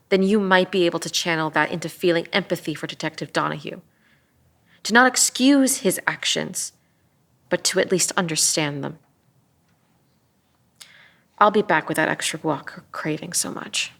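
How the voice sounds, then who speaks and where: A woman playing an earnest, empathetic police detective at a drama table read, measured and sometimes hesitant. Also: on the low side, vocal fry, fairly bright tone. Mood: earnest, pleading.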